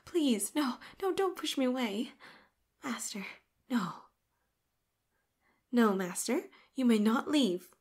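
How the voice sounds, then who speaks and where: A clingy, possessive female robot companion, voiced by a woman for an audio roleplay. Her voice sits a little high and comes out breathy and hushed, right up against the mic. She pleads, then turns eerily calm and controlling. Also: slow pace, pauses often, exaggerated voice. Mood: desperate pleading. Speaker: voice actress.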